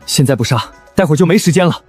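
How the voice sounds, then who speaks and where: A man's voice: on the high side, expressive, racing, slightly rough. A man playing an anxious, analytical young survivor in a motion-comic drama, talking in an urgent, questioning way. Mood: alarmed, urgent. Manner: urgent, questioning, easily alarmed. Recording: background music behind the voice.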